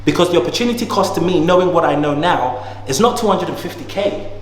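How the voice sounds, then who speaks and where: A man in his thirties with a high voice talks in a casual, emphatic way, full of slang and motivational push. He sounds like he is in an echoey room, and his voice trails off in a long reverb. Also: animated, fast talker, a little hiss or hum. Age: 30s. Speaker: guest, entrepreneur. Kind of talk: casual conversation.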